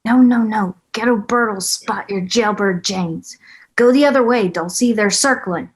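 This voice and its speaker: A woman playing an intimidating, cranky prison escapee in a screenplay table read, husky and sardonic, needling in a hushed way. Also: mid-range voice, unhurried. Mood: urgent whispering.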